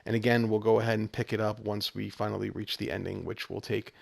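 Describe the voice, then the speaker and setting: A man's voice: on the low side, level tone, fast, gravelly. A man giving step-by-step walkthrough commentary as the host of a video game guide. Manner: explanatory, step-by-step instruction. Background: game music.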